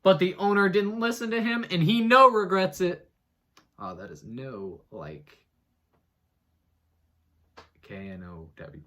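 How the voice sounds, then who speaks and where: A man giving a mock-dramatic comic reading of an article, breaking off for rambling asides, jumping between loud and hushed. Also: high-pitched, animated, slow pace, long pauses. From United States. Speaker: host.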